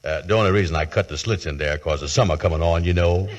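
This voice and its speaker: A man playing a slow, sheepish, lovestruck working man in a 1940s radio comedy, with folksy, hesitant speech. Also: low-pitched, natural voice. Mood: bashful, smitten. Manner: folksy, hesitant, nonstandard grammar. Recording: vintage 1940s radio broadcast recording.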